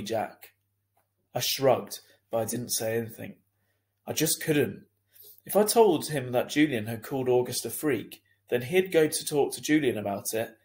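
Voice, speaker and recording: A man narrating a children's book as a teacher reading aloud, deliberate and expressive. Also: medium pitch.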